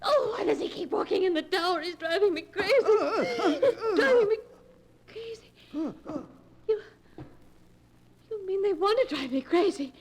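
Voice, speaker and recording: An actress playing a frightened, desperate young daughter in an old radio horror drama, melodramatic and halting, with gasping breaths. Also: female voice, very high-pitched, darkish tone, exaggerated voice. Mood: distraught, panicked. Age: young adult. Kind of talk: 1940s radio horror acting. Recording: noisy old-time radio recording.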